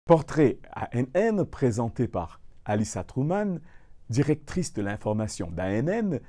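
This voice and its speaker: A male narrator reads the news with plenty of movement in his pitch. His tone is mellow rather than bright. The delivery is polished and scripted. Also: big pitch swings, measured pace.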